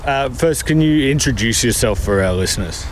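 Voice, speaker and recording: A man hosting a community radio show, speaking haltingly with many fillers. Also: medium pitch, animated, a little hiss or hum. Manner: halting, filler-heavy delivery. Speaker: radio host, interviewer. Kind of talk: casual community radio presenting.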